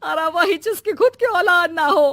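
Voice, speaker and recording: A woman's voice: very high voice, normal speed, pushed and bright, no room sound, studio quality, heavily acted. A woman playing a scheming old mother-in-law and grandmother in a moral-story cartoon, scolding and sarcastic. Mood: accusing.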